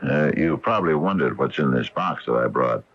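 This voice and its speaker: An older scientist turned storekeeper in a vintage radio mystery. His voice is very low and husky, swinging widely as he haltingly explains himself. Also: animated. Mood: hesitant explaining. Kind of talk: 1980 radio drama acting. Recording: dull lo-fi vintage radio broadcast.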